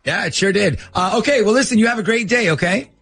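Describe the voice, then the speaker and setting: A man's voice: high voice, quick pace, gravelly, a bit bright. A man hosting a radio show, chatting casually. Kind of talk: casual, animated radio chat.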